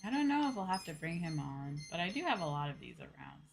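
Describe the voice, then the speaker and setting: A woman giving casual, rambling, soft-hearted live commentary as she streams a video game, speaking into a close mic. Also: low-pitched, big pitch swings, fast, gravelly, music in the background.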